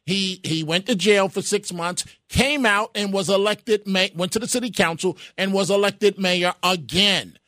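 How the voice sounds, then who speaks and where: A man hosting a call-in radio show, who cuts in on callers with quick repeated interjections. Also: high voice, pushed and bright, no echo, clean studio sound. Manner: talks over callers with rapid repeated interjections. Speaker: radio talk show host.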